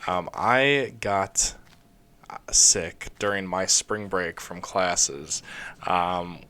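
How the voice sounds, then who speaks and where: A man's voice: low-pitched, very slow, pushed and bright. Young man with a scratchy throat. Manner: rambling, many fillers and restarts. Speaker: gaming video creator. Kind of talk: casual let's play commentary.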